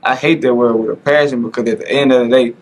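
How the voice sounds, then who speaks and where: A man's voice: mid-range voice, fairly even intonation, quick pace, darkish tone. A man hosting a casual podcast interview, earnest and motivational, who keeps saying "bro" and "like.". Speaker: podcast host.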